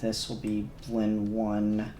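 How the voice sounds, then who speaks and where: A man's voice: fairly low pitch, monotone, slow pace, background noise. A man live-streaming his 3D modelling work, talking casually and muttering to himself, a little self-conscious. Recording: echoey room, faint music behind.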